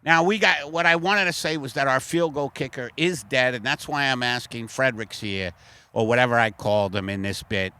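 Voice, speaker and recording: A comedian ranting as a football coach in an improvised sketch, rambling and slipping into silly high voices. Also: male voice, brisk, bright, forceful tone, clean tone. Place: outdoors in a park.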